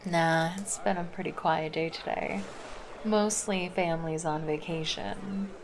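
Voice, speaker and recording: A woman's voice: on the low side, fairly even intonation, measured pace, noisy background, natural voice. A woman voicing a laid-back, flirty female lifeguard in an ASMR roleplay, chatting casually and teasing. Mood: easygoing.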